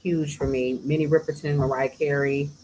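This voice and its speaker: A woman who sings, talking casually as an interview guest, soft-spoken with bursts of laughter. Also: level tone, moderate pace, slight background noise, low-quality recording. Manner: soft-spoken, breaks into laughter and high mimicry. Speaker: singer guest.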